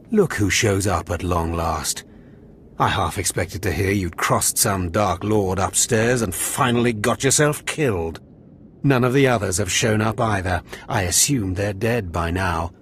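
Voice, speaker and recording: A man's voice: low voice, slow pace, a little hiss or hum. An actor voicing a harsh male overseer of a Sith academy, contemptuous and commanding. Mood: scornful. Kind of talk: game voice acting.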